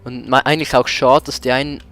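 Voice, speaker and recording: A man hosting a let's play in a casual, conversational way. His voice sits at a medium pitch. He comments as he plays, pausing now and then to search for the right word. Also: level tone, a bit bright, faint music behind.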